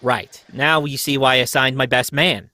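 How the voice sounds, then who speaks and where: A man's voice: medium pitch, fairly even intonation, moderate pace, sharp tone, dry recording, close to an everyday voice. A man playing a stern, bossy police inspector in old-time radio mystery style, giving clipped commands. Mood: commanding.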